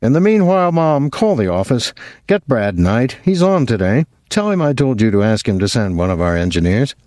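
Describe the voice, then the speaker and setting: A man's voice: medium pitch, sing-song, normal speed, darkish tone, dry recording, studio quality, lightly acted. A man playing a curt, impatient young man. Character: impatient, dry young businessman.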